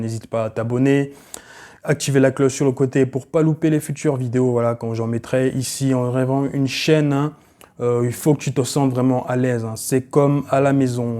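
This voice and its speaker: A man in his late twenties, originally from Cameroon, chatting casually in a vlog with loose, rambling talk full of fillers. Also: mid-range voice. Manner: relaxed and rambling, many fillers like voilà and euh. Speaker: YouTuber.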